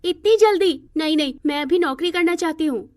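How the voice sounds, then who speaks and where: Woman voicing high, girlish, protesting young woman. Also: fairly quick, distant mic. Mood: playful protest. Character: bubbly, reluctant young college-age granddaughter in a moral cartoon.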